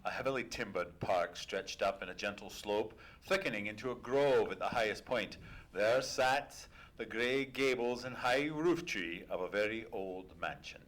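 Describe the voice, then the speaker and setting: A man playing a genial, loyal gentleman companion who also narrates, polite and literary, in a live radio play. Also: lowish voice, moderate pace, lightly acted, poor audio. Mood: descriptive.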